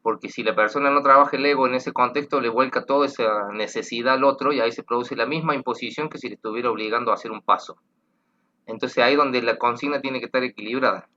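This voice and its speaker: A man's voice: mid-range voice, fast talker, pushed and bright, lo-fi sound. An Argentine man, a tango teacher, explaining earnestly and rambling with repeated words. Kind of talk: explanatory talk.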